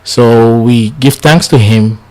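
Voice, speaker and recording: A man whose first language is Yoruba delivers a religious lecture in English, with a voice of middling pitch. He sounds a little tired and speaks earnestly. He often stumbles and starts his words over. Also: darkish tone, low-quality recording. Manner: earnest, halting with restarts. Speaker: Islamic lecturer. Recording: studio lecture for radio/TV.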